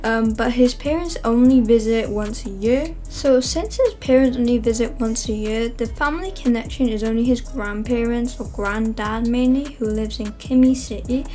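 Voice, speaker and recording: Young woman, fairly high voice, loose rambling vlog host chatter with fillers. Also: normal speed, few pauses, warm tone, faint music behind. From Wales. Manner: rambling, many fillers and self-corrections. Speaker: channel host. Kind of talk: casual chatty vlog narration.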